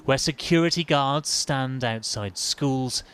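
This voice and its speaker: A man giving a measured, serious television news report as the reporter. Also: medium pitch, fairly bright tone. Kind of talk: scripted news report and live studio analysis.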